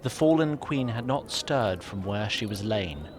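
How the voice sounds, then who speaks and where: A man's voice: lowish voice, expressive, unhurried, a little hiss or hum. A man narrating a scripted fantasy story in a dramatic, wry and literary manner. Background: music.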